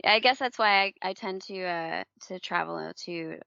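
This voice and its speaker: A woman who grew up in the Philippines, co-hosting a casual news podcast, chatty and quick to laugh, stumbling over hard names. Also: on the low side, vocal fry, pushed and bright, smooth, no echo. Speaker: podcast co-host. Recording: dull lo-fi dry recording.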